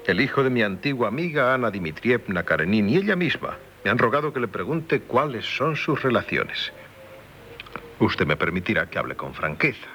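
An actor with a Castilian Spanish accent playing a polite, formal aristocrat in 1970s radio theatre. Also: male voice, mid-range voice, pushed and bright. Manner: courteous, measured, formal. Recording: 1970s radio recording, faint noise.

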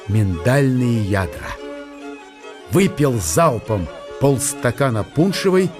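A man narrating a radio play in a measured storytelling manner. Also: mid-range voice.

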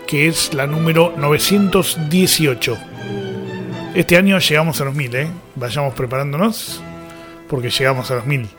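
A man with an Argentine accent hosting a radio show, chatting casually as he signs off. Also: fairly high pitch. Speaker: radio host. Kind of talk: casual radio sign-off chat.

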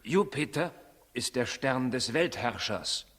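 An actor in a 1960s radio play as a learned, courteous astrologer, explaining in a formal, declamatory way. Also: male voice, mid-range voice, lively intonation, takes it slowly, a bit bright. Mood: solemn, explaining. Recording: 1960s radio play, long reverberant hall.

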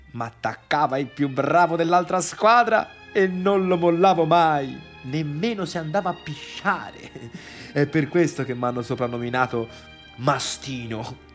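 Needy, awkward stage-drama man: Tuscan, hesitant, lightish pitch, unhurried delivery. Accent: Tuscan Italian. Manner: hesitant, stammering repetitions and questions. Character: awkward, needy, wounded man pleading with a woman. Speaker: stage actor in a monologue. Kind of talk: theatrical monologue, halting one-sided dialogue.

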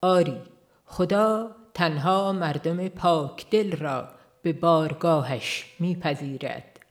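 A narrator reading a religious audiobook in a formal, measured and solemn manner. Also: medium pitch. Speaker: audiobook reader.

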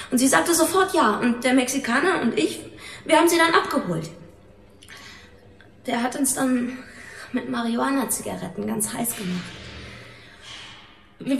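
An actress playing a frightened, evasive young runaway in a German radio drama, halting and pleading. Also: female voice, high voice. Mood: nervous confessing. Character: frightened, evasive young runaway woman under police questioning. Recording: roomy, echoing studio interrogation scene.

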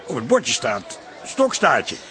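An actor playing a gentle, hesitant, obliging man who doubts things mildly, in a radio drama. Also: mid-range voice, huge pitch swings, takes it slowly, a crowd in the background. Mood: puzzled.

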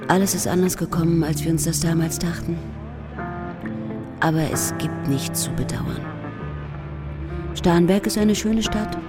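A woman's voice: fairly low pitch, a little slow, long pauses. An actress playing a wistful, affectionate old friend, reading her letter reflectively in a radio drama. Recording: loud music under the voice.

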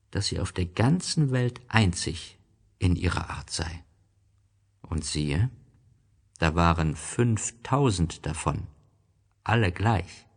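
Male storybook narrator: low, husky, slow-paced, expressive literary delivery.

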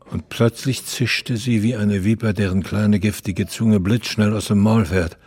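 A fallen opera star in his eighties, lonely and bitter. The voice is low and husky, and he delivers his radio-play monologue at an unhurried pace, with weighty, theatrical pauses. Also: fairly even intonation, a little mellow. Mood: brooding, confessional. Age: 80s.

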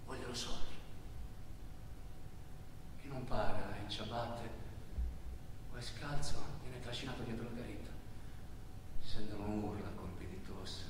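Male stage narrator, slow and dramatic, hushed to declaiming, distant in a very reverberant hall. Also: fairly low pitch, background noise. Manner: dramatic, swinging from hushed delivery to loud declaimed cries. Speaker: stage actor. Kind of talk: theatrical monologue narration of a travel account. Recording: echoey hall, distant mic, music behind. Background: music.

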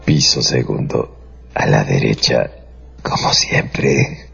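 An actor playing an insistent, theatrical gentleman in a radio drama. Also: a bass voice, slow pace, vocal fry, slightly rough, exaggerated voice. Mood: smooth, persuasive. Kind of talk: Spanish-language radio drama acting. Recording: dull radio drama with music behind.

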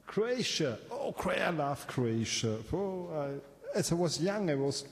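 A man of forty-nine with an Austrian accent, chatting casually and playfully in halting, repetitive English as a native German speaker. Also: fairly high pitch, sing-song. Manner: playful, halting, repeats words. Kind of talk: casual chat with a stranger playing music. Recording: long reverb with faint music. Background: faint music being played.

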